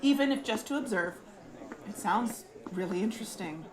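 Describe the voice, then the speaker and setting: A woman playing a curious, sly undercover investigator in an audio drama, casual and measured. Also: medium pitch, expressive, crowd noise, natural voice. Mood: casually probing. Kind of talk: audio drama narration and natural dialogue.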